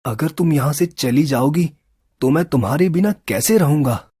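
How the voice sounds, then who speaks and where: Kind young villager for an animated story, tender and lively, husky. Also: male voice, on the high side, moderate pace, dry recording, studio quality. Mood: pleading.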